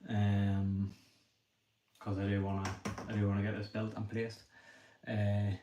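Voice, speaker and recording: A young man with a Northern Irish accent, thinking aloud quickly and apologetically as he chats with a livestream audience. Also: on the low side, monotone, dark tone, lo-fi sound. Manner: talks quickly. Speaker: livestreamer building a brick set. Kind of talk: casual livestream chat while building. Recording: echoey room with faint noise.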